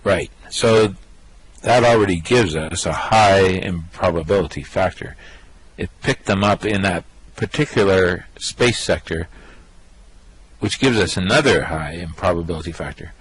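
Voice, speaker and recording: A man playing a brash, vain, excitable space rogue in a radio play, with cocky, slangy bluster. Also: sits low, a little slow, breathy edge, dry recording, poor audio. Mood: working it out. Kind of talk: amateur radio play acting.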